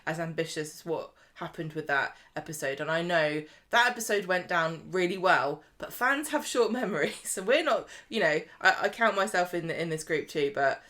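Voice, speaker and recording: Female podcast co-host, slightly low voice, informal and meandering. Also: moderate pace, hardly any pauses, a bit bright. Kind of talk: casual chat about a TV soap.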